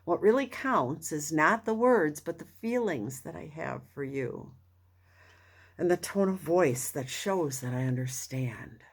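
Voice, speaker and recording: A woman with a low voice reads a self-help audiobook at a slow pace. Her delivery is earnest and deliberate, using pauses and expressive emphasis to set off important phrases. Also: slightly rough. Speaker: audiobook reader. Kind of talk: expressive scripted reading of a self-help book.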